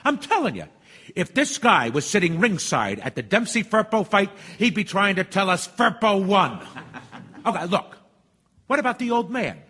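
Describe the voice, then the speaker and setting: An actor playing an impatient, wisecracking juror in a stage radio drama, brash and mockingly sarcastic. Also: male voice, sits high, very animated, moderate pace, heavily acted. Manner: sarcastic, loudmouthed.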